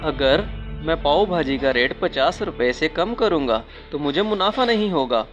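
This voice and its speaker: A man's voice: a little high, lively intonation, unhurried, dull audio, music in the background. A man playing an earnest, plaintive husband who cooks for a living in a cartoon moral story. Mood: worried. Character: struggling husband, anxious but hopeful restaurant cook.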